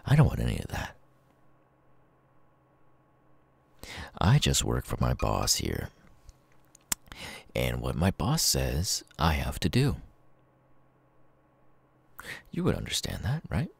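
Sadistic male killer for ASMR roleplay, acted by a man: low, gravelly, taunting, with wildly swinging pitch. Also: wildly swooping pitch, no echo, exaggerated voice. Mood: smug, businesslike. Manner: slow, taunting, drawn-out with sudden swings. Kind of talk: ASMR villain roleplay, close and menacing.

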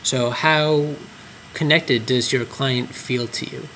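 A man's voice: mid-range voice, steady tone, takes it slowly, sharp tone, slight background noise, poor audio. A man walking through clinic tracking forms on screen, explaining step by step with frequent ums and uhs. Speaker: clinic trainer. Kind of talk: screen-recorded software tutorial.

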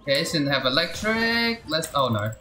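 A game streamer giving casual, excitable live commentary full of muttered repeats and exclamations. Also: medium pitch, normal speed, roomy sound, soft background music.

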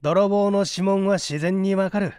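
A man voicing a methodical gentleman detective in an audiobook, assured and analytical as he explains. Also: high-pitched, steady tone, measured pace, clear, resonant tone, no echo, clean studio sound. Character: confident, methodical gentleman detective in a mystery story.

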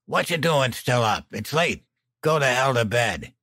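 A male reader acts out a mean, drunken smoker in an audiobook. His voice is raspy and gravelly, with coarse slang snarled out in a bullying, sneering way. The sound is close and dry. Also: mid-range voice, lively intonation, unhurried, pushed and bright, no room sound, pitched above the performer's own voice, heavily acted. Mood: gruff, dismissive. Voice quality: raspy, croaking. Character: violent, sneering, drunken smoker, man with criminal past.

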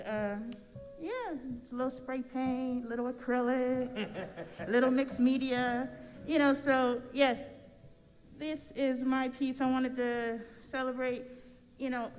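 A woman's voice: a little high, darkish tone, lo-fi sound. A middle-aged American woman speaking casually and anecdotally to a congregation, as an artist telling her story. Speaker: artist and church member. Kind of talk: informal testimony to a congregation. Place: large church sanctuary. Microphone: stand microphone. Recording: long hall reverb, faint music.

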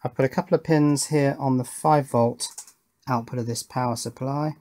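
A man's voice: mid-range voice, steady tone, a little mellow. Middle-aged Englishman musing at his workbench. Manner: musing, self-questioning, measured. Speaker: electronics hobbyist presenter. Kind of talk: casual hands-on workbench commentary, thinking aloud. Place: indoor electronics workbench.

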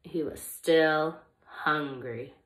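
A young woman who teaches small children, reading a picture book aloud in an expressive, deliberate way meant for little listeners. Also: low-pitched. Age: young adult.

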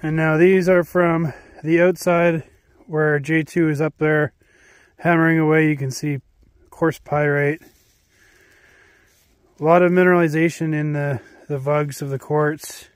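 A man with a slightly high voice gives a calm, matter-of-fact field commentary outdoors, listing minerals in each rock he shows. The sound is dry and close, as if from a handheld camera. He talks in a plain, casual tone. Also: measured pace, pauses often, no room sound. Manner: matter-of-fact, names minerals while showing rock samples. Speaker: mineral exploration vlogger. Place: outdoors at a snowy abandoned mine site.

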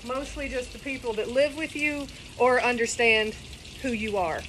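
A woman's voice: a little high, moderate pace. Middle-aged woman, casual cooking vlog, outdoors on a phone, chatty asides, faint background noise. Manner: chatty, rambling, folksy asides. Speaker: cooking vlogger. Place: outdoors, sitting in a kiddie pool in a garden. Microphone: phone camera on a table.

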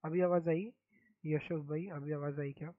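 A young man from India chats softly on his game stream, his throat sore and hoarse. His slightly high voice stays flat and even. The sound is thin and tinny, like a phone line, with no room echo. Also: normal speed. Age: young adult. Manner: speaks softly, mic-checking. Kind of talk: casual live-stream chat.

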